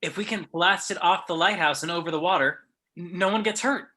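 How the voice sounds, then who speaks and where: Man playing eager teen boy, very bright voice. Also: sits high, brisk. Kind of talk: amateur script table read.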